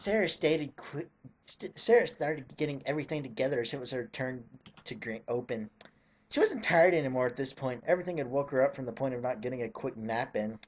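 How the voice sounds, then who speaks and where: A mid-pitched voice performs a mock dramatic reading of fan fiction. The delivery is theatrical and put-upon, with an exasperated edge. Sarcastic commentary is slipped in between the narrated sentences. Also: expressive, brisk, husky. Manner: theatrical, exasperated, breaks off for snarky remarks.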